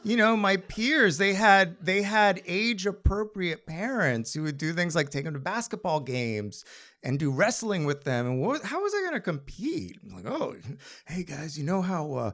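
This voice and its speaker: American man storytelling with lively, swinging pitch. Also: normal speed. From Maryland, United States. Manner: wry, conversational, acts out quoted dialogue. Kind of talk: live personal storytelling to an audience.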